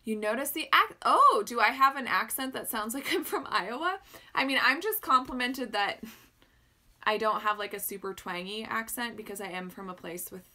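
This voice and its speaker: A woman's voice: fairly high pitch, sharp tone. Chatty Canadian woman from Alberta. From northern Alberta, Canada. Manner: chatty, rambling, answers viewer questions, laughs often. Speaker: maker hosting a livestream. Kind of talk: casual livestream chat.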